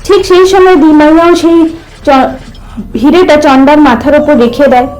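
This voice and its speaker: A woman's voice: high-pitched, fairly even intonation, normal speed, a little mellow, faint music behind, poor audio. A woman narrating a scripted supernatural folk tale as a storyteller.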